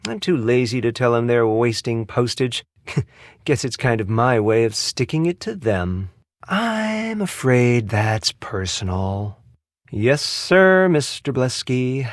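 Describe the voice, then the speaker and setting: An actor with an American accent playing a sarcastic, theatrical spa receptionist with a villainous streak in a video game. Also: male voice, mid-range voice, sing-song, slow pace, no echo, clean studio sound. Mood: wry, then reluctant. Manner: sarcastic, snide, theatrical delivery. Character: sardonic, theatrical male spa receptionist, secretly a bomber. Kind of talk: video game character dialogue.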